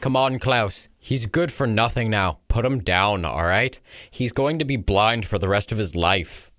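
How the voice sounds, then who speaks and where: A man's voice: lowish voice, a little slow, fairly bright tone, no room sound, studio quality, voice pushed up. A man voicing a hard-pressed, pragmatic soldier in a war story, urgent and insistent. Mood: cold, resolved. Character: hard-pressed, pragmatic male soldier rushing comrades along.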